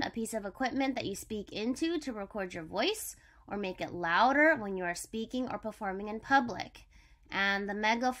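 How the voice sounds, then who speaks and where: A woman's voice: medium pitch, fairly bright tone. Woman teacher explaining step by step. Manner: instructional, explains step by step with simple examples. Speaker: elementary school teacher. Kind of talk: explanatory vocabulary lesson for children.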